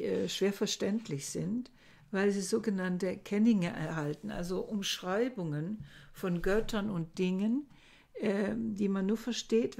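An older woman giving a recorded lecture from her book-lined study, explaining old verses slowly and thoughtfully, with many hesitations. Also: mid-range voice, vocal fry, dark tone. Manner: slow, thoughtful, with frequent hesitations. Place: home study lined with bookshelves. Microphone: webcam at desk.

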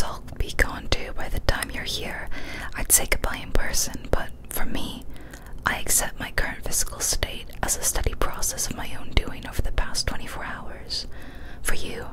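A young woman whispering softly and breathily, close to the microphone, in a careful, hushed ASMR storytelling style. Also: rough recording. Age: young adult. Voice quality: soft, breathy unvoiced whisper. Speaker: ASMR creator reading scary stories. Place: small decorated room, indoors. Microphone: close studio microphone on a boom arm.

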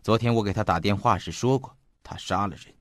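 A man acting in an audio drama as an earnest investigator, speaking in a formal, deliberate and detailed storytelling manner. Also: lowish voice, a bit bright, no echo, lightly acted. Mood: ominous, insistent. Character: earnest male investigator recounting a mysterious disappearance. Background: faint background music.

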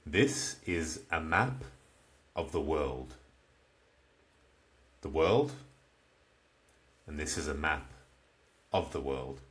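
A man in his twenties with an Australian accent, teaching beginners in very slow, simple, repeated phrases. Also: a bass voice, animated, fairly bright tone. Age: 20s. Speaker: English teacher. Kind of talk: slow comprehensible-input lesson for beginners. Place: small plain room indoors.

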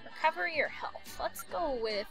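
A young woman giving playful, excitable, singsong commentary as she hosts a casual game playthrough. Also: high-pitched, measured pace, vocal fry, low-quality recording. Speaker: gaming video host. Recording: game music behind the voice.

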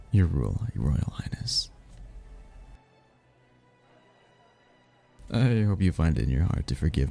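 A man's voice: sits low, gravelly, mellow, slightly rough, exaggerated voice. A man playing a charming, teasing mysterious stranger at a royal ball in a romantic ASMR roleplay, speaking in a playful, flirtatious way. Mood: courtly, teasing.

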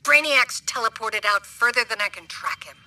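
Video game hero's tech expert: young, alert, informal, sharp and lively, slightly high. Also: female voice, moderate pace, low-quality recording. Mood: concerned. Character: alert young female superhero tech operator. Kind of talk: video game character acting.

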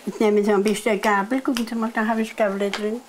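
A woman's voice: mid-range voice, little pitch movement, fast talker, background noise. A woman in her eighties with a Palatinate accent, patiently showing how to cook in her home kitchen, often chuckling. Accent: Palatinate German. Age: 80s. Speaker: farm cook sharing recipes. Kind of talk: casual cooking chat. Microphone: lapel. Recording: clattering dishes and pans behind.

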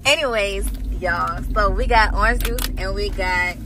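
A woman's voice: medium pitch, unhurried. A 22-year-old woman from New Orleans, chatting casually and informally to her vlog viewers. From New Orleans, Louisiana. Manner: informal, addressing viewers familiarly. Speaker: vlogger. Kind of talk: casual vlog chat to camera. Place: mostly inside a car on the road. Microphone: phone held by hand. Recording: loud car and road noise.

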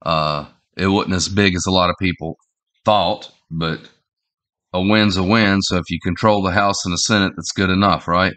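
A man in his forties with a head cold that makes him sound nasal, talking in a halting, rambling way with many fillers and restarts. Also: low-pitched, fairly even intonation, fairly bright tone. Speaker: online commentator. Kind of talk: casual opinion vlog. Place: small indoor room at home.

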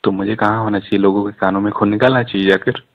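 A man's voice: lowish voice, fairly even intonation, fast, gravelly. A man chatting casually on a recorded phone call, joking and teasing.

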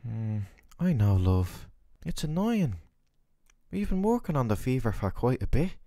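Guy acting as a caring worried boyfriend, asmr roleplay, soothing and sincere, lively pitch. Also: unhurried, no room sound, studio quality. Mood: gentle, caring. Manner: soothing, earnest, affectionate. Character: worried, tender, doting boyfriend caring for his sick partner.

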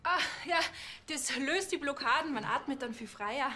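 An earnest, eager choir member with a fairly high voice. She explains things eagerly to the police in a TV crime comedy. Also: lively intonation, moderate pace, pushed and bright, a little hiss or hum.

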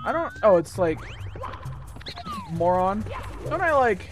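A man in his twenties streaming a game, joking crudely with friends and yelling at his own mistakes. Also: sits high, very animated, takes it slowly. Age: 20s. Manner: crude, joking, sudden frustrated outbursts. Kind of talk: casual gaming stream chat. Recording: game music playing behind. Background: game music.

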